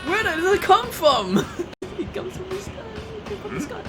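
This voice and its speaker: A man's voice: extremely high pitch, big pitch swings, moderate pace, a crowd in the background. A man giving casual live commentary while playing a video game, moving between plain explanation and playful mock-dramatic exclamation. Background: video game music.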